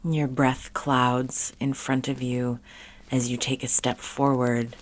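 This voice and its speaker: A woman leading a soothing guided meditation in a hushed, gentle way with deliberate pauses and soft phrase endings. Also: extremely low pitch, flat delivery.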